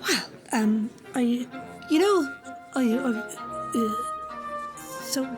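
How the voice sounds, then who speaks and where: A woman's voice: on the high side, animated, faint music behind. A woman playing a smug, formal usurper in an audio drama, speaking in a theatrical way. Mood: flustered, cringing. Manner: deliberate, theatrical. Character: smug usurping female executioner-leader, formal and menacing.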